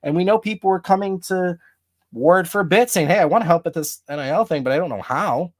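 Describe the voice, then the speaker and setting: A man co-hosting a casual sports podcast, laying out his point in a steady, reasoned way. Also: high-pitched, fast. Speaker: podcast co-host.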